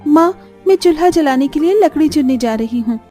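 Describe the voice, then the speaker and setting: A woman's voice: sits high, animated, normal speed, mellow, faint music behind, pitched above the performer's own voice. A woman voicing an affectionate, cheerful young village daughter in a cartoon story, with a childlike, exclaiming manner.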